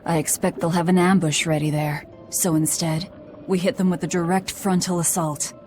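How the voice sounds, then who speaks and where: A woman's voice: lowish voice, level tone, moderate pace, darkish tone, faint music behind, close to an everyday voice. An actress playing a hardened young woman bent on revenge in a fantasy game, with a terse, commanding way of talking. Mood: cool, commanding. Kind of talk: dubbed fantasy game acting.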